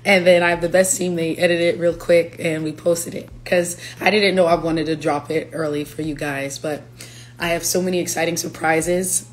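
A young woman, a singer-songwriter, chatting playfully on a livestream from her living room, her voice raspy from allergies. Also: lowish voice, fairly even intonation, normal speed, faint music behind. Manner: chatty, playful, breaks into lyrics. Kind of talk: casual livestream chat. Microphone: phone camera at arm's length.

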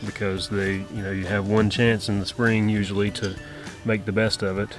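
Man, 30s, low voice, flat delivery, outdoors, clear music behind. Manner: slow, thoughtful, repeats words. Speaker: homestead vlogger. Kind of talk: casual chat. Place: outdoors on a rural homestead.

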